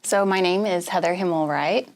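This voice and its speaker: A woman's voice: lowish voice, moderate pace. A young woman from rural Kansas, a graduate student intern, telling how she found her internship in a chatty way with many ums. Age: young adult. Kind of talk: interview testimonial.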